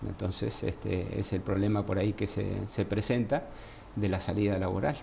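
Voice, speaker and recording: Argentine doctor guest, low mellow gravelly voice, unhurried, faint noise behind. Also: male voice, fairly even intonation. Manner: measured, explains with many fillers. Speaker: gynecologist guest. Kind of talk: radio interview by phone. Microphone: phone line.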